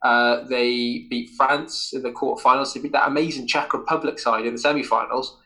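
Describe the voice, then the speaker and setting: Guy hosting a footy podcast, bright clear-cut sound, rambles on keenly about old games. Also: medium pitch, fairly even intonation, normal speed, low-quality recording. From Wales. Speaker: football podcast host. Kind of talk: casual sports chat.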